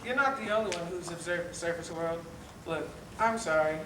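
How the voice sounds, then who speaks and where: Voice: medium pitch, brisk, lightly acted. An actor playing a grumpy, taunting bully shark in a children's stage play. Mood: sheepish. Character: grumpy bullying shark who crashes parties, later softened. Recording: echoey stage, distant mic, noisy.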